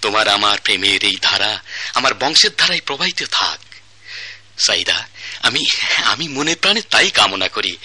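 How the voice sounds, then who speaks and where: Older husband, poetic and tender, bright voice, lively pitch. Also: male voice, normal speed, pauses often, husky, exaggerated voice. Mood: fond. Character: contented elderly husband, fond and romantic. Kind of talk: radio drama acting.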